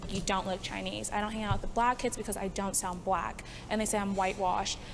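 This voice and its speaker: A woman's voice: mid-range voice, little pitch movement, a little fast, gravelly. A young woman speaking frankly and chattily on a discussion panel. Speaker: panel participant. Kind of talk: candid panel answer. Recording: reverberant room with faint hiss.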